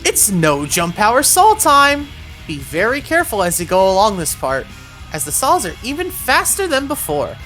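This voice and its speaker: A woman's voice: medium pitch, wildly swooping pitch, normal speed, a bit bright, soft background music. A woman giving an instructional voiced game walkthrough, guiding the player step by step with words of caution. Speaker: video game guide host.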